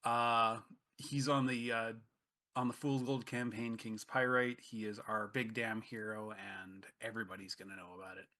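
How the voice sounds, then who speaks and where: A man's voice: on the low side, flat delivery, moderate pace, sharp tone. A man introducing himself casually on a tabletop gaming stream, with a self-deprecating, dryly joking manner. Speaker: game master and player on gaming livestream.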